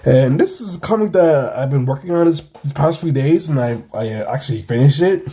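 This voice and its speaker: A man with a husky voice giving a casual, rambling, giggly read-through of his own comic. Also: on the high side, animated, brisk, mellow. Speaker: comic creator and channel host.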